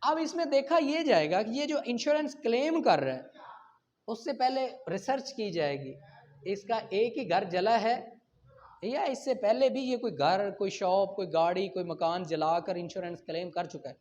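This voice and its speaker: Muffled low-fidelity audio, man, Pakistani accent, mid-pitched for the voice, law lecturer, explanatory, question-and-answer delivery. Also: normal speed, a little mellow. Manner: asks rhetorical questions and checks understanding. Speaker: law teacher. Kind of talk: explanatory lecture, reading statutes then explaining. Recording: dull lo-fi recording.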